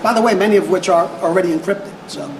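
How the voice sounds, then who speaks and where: A man's voice: high-pitched, quick pace. Male police tech official, press conference answers, hesitant phrasing with repeats, echoey noisy room. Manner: hesitant, repeats words while searching for phrasing. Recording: echoey room with clear background noise.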